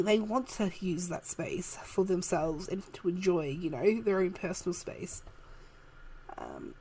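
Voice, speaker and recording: A woman, chatting softly and laughing often while narrating a game build, her voice a little worn. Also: mid-range voice. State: losing her voice. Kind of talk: casual voice-over chat. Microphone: home desk microphone, moved closer partway.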